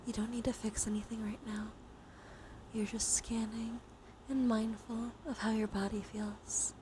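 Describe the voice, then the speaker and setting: A woman guides a relaxation exercise in a gentle, mostly whispered ASMR style. Her voice sits fairly high and she takes her time, with long, unhurried phrasing. Clear ambient noise runs behind the voice. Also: little pitch movement. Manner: soft, mostly whispered delivery; soothing, gentle guiding. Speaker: live streamer leading relaxation exercises. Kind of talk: ASMR guided breathing and body scan with chat asides.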